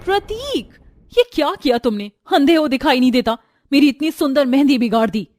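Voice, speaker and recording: A woman voicing a haughty, sharp-tongued young city daughter-in-law in a family story, snapping scornfully and mixing in English words. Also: high voice, lively intonation, brisk, voice pushed up. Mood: angry. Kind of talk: Hindi family storybook voice acting.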